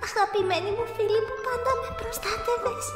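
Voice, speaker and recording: A woman's voice: very high voice, steady tone. A woman voicing a timid, sorrowful young girl in an amateur anime dub. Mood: sorrowful, pleading. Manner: plaintive. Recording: echoey, reverberant, music behind.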